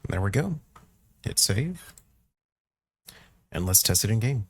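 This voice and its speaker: Low, gravelly, slow-talking man. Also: very animated. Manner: informal, thinking aloud while working. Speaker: tutorial presenter. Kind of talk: casual screen-recorded software walkthrough.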